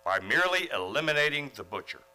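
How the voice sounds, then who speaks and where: A man's voice: on the high side, sing-song, fast talker, pushed and bright, full character voice, poor audio. An actor playing an earnest, naive, mild-mannered male schoolteacher in a comedic radio play. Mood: eager.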